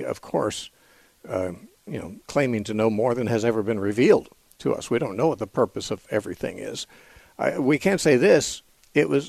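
A man's voice: mid-range voice, vocal fry, darkish tone, no room sound. A man hosting a call-in radio show, answering Bible questions thoughtfully with fillers and repeated words. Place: radio studio.

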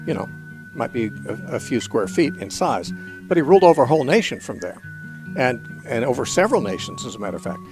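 A man hosting a call-in radio show, answering Bible questions thoughtfully with fillers and repeated words. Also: medium pitch. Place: radio studio.

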